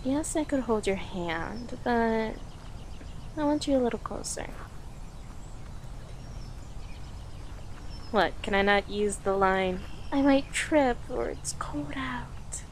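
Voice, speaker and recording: A woman's voice: a little high, expressive, slight background noise. A woman playing a playful, affectionate young girlfriend on a date in an intimate, conversational audio roleplay, with a teasing manner. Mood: tender, affectionate. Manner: teasing, giggly, conversational with pauses.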